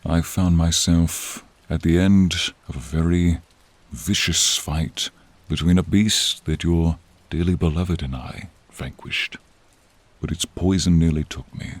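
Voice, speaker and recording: A man voicing a noble, friendly silver dragon in a fantasy roleplay, deep and breathy, with solemn, old-fashioned courtly speech addressed to the listener. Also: fairly even intonation, no echo. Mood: solemn, devoted. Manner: slow, archaic, solemn, addressing listener directly. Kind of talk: scripted fantasy ASMR roleplay. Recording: rain sound effects behind the voice.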